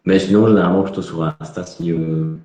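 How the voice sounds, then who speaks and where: A man's voice: low-pitched, fairly even intonation, fast, warm tone. A man with a Belgian French accent, a guest on a live video talk, speaking with many hesitations and fillers. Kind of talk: casual live interview. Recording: echoey, dull audio.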